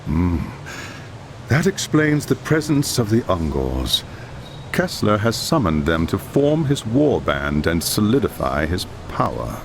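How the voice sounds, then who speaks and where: An actor playing a commanding High Lore Master who speaks with measured authority. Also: male voice, very animated, creaky, noisy background, exaggerated voice. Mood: grave. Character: commanding older male wizard mentor.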